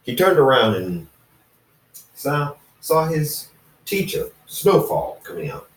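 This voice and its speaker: A man reading fan fiction aloud as an amateur narrator, halting and often going back to repeat phrases. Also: mid-range voice, sing-song. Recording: roomy echo, distant from the mic.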